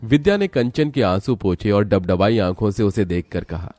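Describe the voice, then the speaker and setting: A man's voice: lowish voice, lively intonation, moderate pace, mellow, no room sound, faint music behind. A man narrating a scripted cartoon story as a storyteller.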